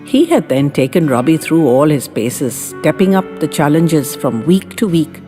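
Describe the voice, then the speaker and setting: A woman's voice: low voice, mellow. A woman reading a story as scripted audiobook narration, in a tender, dramatic storytelling delivery. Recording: long reverb with background music. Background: music.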